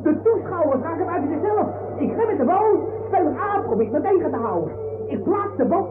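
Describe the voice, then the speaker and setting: Very high, excitable football fan. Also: male voice, fairly quick, echoey room, music in the background. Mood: excited. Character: excitable, defiant male football fan defending himself in court. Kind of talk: 1960s comic radio play acting. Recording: tinny, noisy 1960s radio recording.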